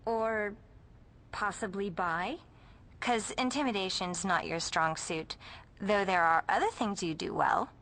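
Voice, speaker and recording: A woman's voice: mid-range voice, unhurried, fairly bright tone. An actress playing a haughty, tactless teenage girl with an American accent in a video game, sarcastic and snippy.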